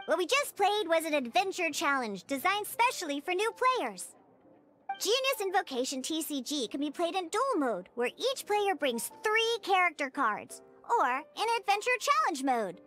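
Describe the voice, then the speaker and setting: Game role: cheeky young cat girl, perky tutorial guide, very high voice, lively swings in pitch. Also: full character voice. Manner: perky, emphatic, explaining. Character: feisty young cat-eared girl mixologist, cheeky and bossy. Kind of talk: animated video game voice acting.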